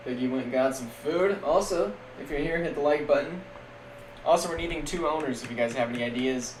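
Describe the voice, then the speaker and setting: A young man streaming casual, jokey play-by-play of a simulated football league, getting loud on big plays. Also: fairly high pitch, a little fast. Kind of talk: live-stream game commentary. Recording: echoey room with faint background noise.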